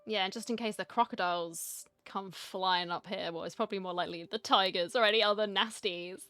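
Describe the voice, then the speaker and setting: A woman streamer talks through a game in a casual way. Her voice sits at a medium pitch. She is informal and chatty, reacting aloud as she plays. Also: steady tone, a little fast, sharp tone, no room sound. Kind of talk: casual playthrough commentary.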